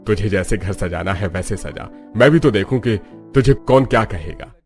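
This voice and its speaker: A man's voice: on the low side, lively intonation, normal speed, lightly acted. A man voicing an older, supportive father-in-law in a cartoon, firm and approving as head of the family. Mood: firm approval. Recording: music bed under the voice.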